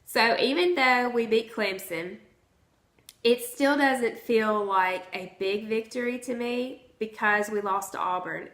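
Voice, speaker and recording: A hoarse woman, chatting casually to her vlog audience from home. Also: medium pitch, bright, forceful tone. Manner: chatty, conversational. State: hoarse from the flu. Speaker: vlogger. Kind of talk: casual chat to camera. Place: at home, indoors. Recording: roomy echo.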